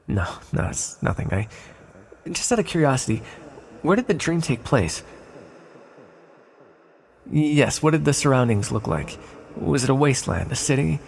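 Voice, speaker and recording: Sealed ancient villain male actor, ASMR roleplay: very gravelly fry, mid pitch, soft pleading, hesitant, polite, secretive. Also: full character voice. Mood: uneasy, curious. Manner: hesitant, halting, gently persuasive.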